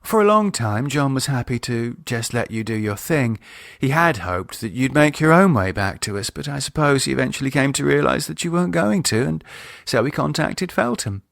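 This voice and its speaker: A man voicing a composed, teasing woman with a sharp edge and a polite, ironic manner in an audiobook. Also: mid-range voice, sing-song, moderate pace, gravelly, no echo, clean studio sound. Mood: matter-of-fact. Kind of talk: audiobook character dialogue.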